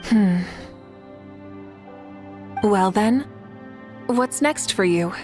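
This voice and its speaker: A woman voicing a calm, confident female warrior leader who speaks in a measured, composed way. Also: medium pitch, faint music behind. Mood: amused.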